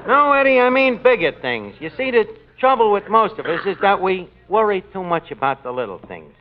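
A pompous, word-mangling tavern boss. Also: male voice, high voice, big pitch swings, a little fast. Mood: philosophizing. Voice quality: mangles words, pompous wisecracks. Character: boastful, folksy middle-aged tavern manager. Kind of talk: 1940s radio comedy acting. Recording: muffled old radio broadcast with hiss.

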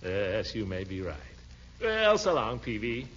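An actor playing a pompous, excitable middle-aged bachelor in 1940s radio comedy, blustery and given to chuckling. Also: male voice, fairly low pitch, a little slow. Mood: hearty, amused. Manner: blustery, stammering starts, chuckling. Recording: muffled, noisy old radio recording.

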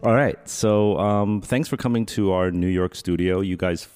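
Deep-voiced man hosting a podcast. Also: moderate pace, darkish tone, smooth, soft background music. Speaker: podcast host. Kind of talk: scripted intro then casual interview. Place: New York studio.

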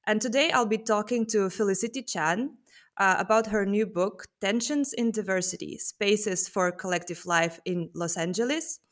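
A woman's voice: medium pitch, normal speed. Woman hosting an academic interview. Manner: polite, scripted introductions with fillers. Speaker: podcast host.

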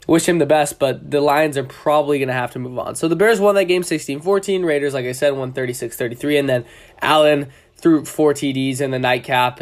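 A young man with a cold and a stuffy, congested voice, hosting a casual sports podcast in a loose, rambling way, often correcting himself mid-sentence. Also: medium pitch. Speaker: podcast host.

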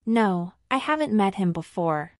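A woman's voice: mid-range voice, animated, measured pace, no room sound, studio quality, lightly acted. A woman playing a polite married citizenship applicant in a scripted practice interview, answering formally and carefully in full sentences. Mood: polite, composed.

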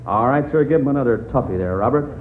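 A man's voice: mid-range voice, expressive, fast. An American man hosting an old-time radio quiz show, chatting in a folksy way to listeners and contestants. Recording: noisy, phone-like vintage radio recording.

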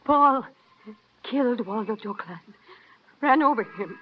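An actress playing a frightened, emotional wife under suspicion in an old-time radio melodrama, pleading dramatically. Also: female voice, fairly high pitch, expressive, a little slow. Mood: frantic, pleading. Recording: dull vintage radio recording.

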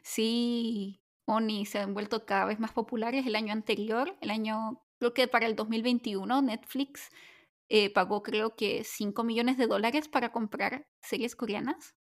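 A woman's voice: on the high side, fairly even intonation, unhurried. A woman in her thirties co-hosting a chat podcast, chatty and upbeat, telling long flowing anecdotes. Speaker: podcast co-host. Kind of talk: casual chat.

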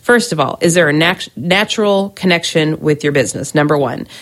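Woman host, low voice, chatty solo podcast, recovering from a cold. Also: a little fast. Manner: chatty, frequent ums and restarts. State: recovering from a cold, scratchy throat. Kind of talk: casual solo podcast advice.

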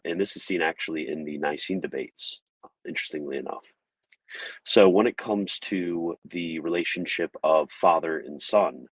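A man's voice: low-pitched, husky, no room sound, rough recording. A man giving an informal, methodical theology lecture to a live audience from inside his car, working through numbered points. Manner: methodical, lays out numbered points and explains term by term. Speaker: livestream theology presenter.

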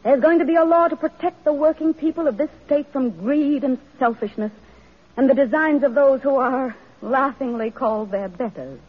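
A woman's voice: high-pitched, normal speed, slightly rough. An actress playing a principled, determined woman social reformer in a radio drama, speaking earnestly and firmly. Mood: righteous, firm. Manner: earnest, firm, declarative. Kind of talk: vintage radio drama acting. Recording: muffled vintage radio, music behind.

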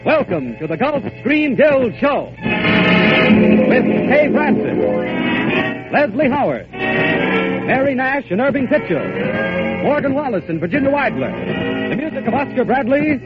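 Very high-pitched, swooping vintage male announcer. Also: sing-song, slow, pauses often. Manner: declamatory, showy. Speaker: radio show announcer. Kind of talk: 1930s radio announcing. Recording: muffled 1930s radio broadcast, loud music.

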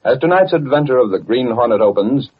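Husky old-time radio announcer man. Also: mid-range voice, animated, brisk. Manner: declamatory, portentous. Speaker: announcer, narrator. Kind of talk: dramatic scripted 1930s radio narration. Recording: dull, muffled old radio recording.

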